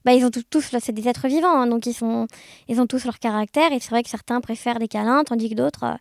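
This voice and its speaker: A woman chatting casually as an interview guest, telling anecdotes in an animated, conversational way. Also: a little high, fast talker, gravelly, no room sound, studio quality. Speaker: podcast guest, blind guide dog user sharing experiences.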